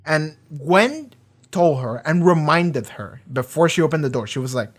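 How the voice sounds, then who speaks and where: A reaction video host in a casual, rambling discussion, often talking loudly, swearing freely and filling pauses with lots of "like" and "um". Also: mid-range voice, animated. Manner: swears freely, many fillers and restarts; often talks loud.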